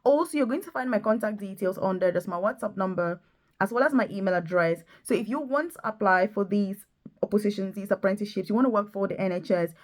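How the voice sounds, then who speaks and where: Female career-coach vlogger, mid-pitched voice, enthusiastic and emphatic, pressing the viewer to act. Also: fast talker. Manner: emphatic, urging, repeats points for stress. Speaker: video host, nurse and career coach. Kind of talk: enthusiastic direct-to-viewer promotional vlog.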